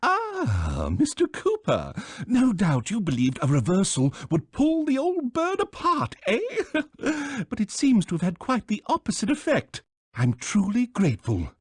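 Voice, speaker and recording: A man's voice: high-pitched, huge pitch swings, measured pace, husky, no room sound, clean studio sound, heavily acted. A man playing a pompous, condescending, scheming small bird villain with a British accent in a video game, speaking theatrically. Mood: gloating.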